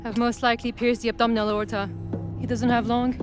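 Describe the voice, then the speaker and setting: A woman's voice: a little high, flat delivery, fast, over music, close to an everyday voice. An actress playing a cool, double-crossing female villain in a video game cutscene, mocking and self-assured. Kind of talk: cinematic video game acting.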